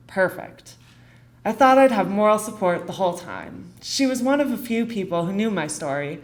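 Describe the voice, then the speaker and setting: A young American woman reading a rehearsed personal essay on stage, in an earnest, deliberate delivery with short phrases and dramatic pauses. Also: mid-range voice. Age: young adult. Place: theater stage.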